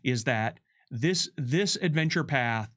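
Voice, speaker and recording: Male game developer with halting, emphatic delivery. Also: mid-range voice, expressive, a bit bright. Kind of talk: developer commentary, conversational.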